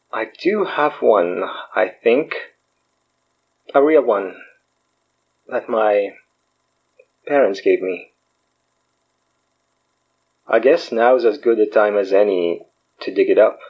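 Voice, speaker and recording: A man's voice: lowish voice, takes it slowly, long pauses, slightly rough, dull audio, exaggerated voice. An actor playing a wry, self-deprecating man in a naturalistic audio drama, joking and rambling as he talks. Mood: hesitant, wondering. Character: wry, self-deprecating man, recently freed from possession, fake guru healer.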